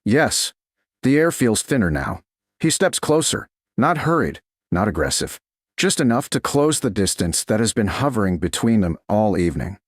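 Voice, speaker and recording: Deep-voiced male narrator, measured and deliberate. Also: big pitch swings, no room sound, clean studio sound. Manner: measured, deliberate, short dramatic phrases. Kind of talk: scripted narration of a romance story.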